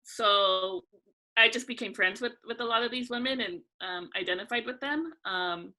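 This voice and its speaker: A young woman from California, a guest talking casually and thoughtfully in an interview, with frequent pauses. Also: on the high side, bright, forceful tone, rough recording.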